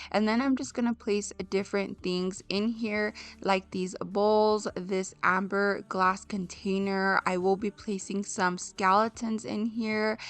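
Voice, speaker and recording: A young woman with a hoarse, raspy voice, chatting casually to her viewers in a home decor voice-over. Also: medium pitch, a bit bright. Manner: chatty, addresses viewers as friends. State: hoarse from illness.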